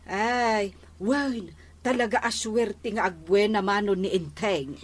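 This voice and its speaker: A woman's voice: mid-range voice, takes it slowly. A woman playing an easygoing village captain's wife in a radio comedy drama, hesitant and agreeable.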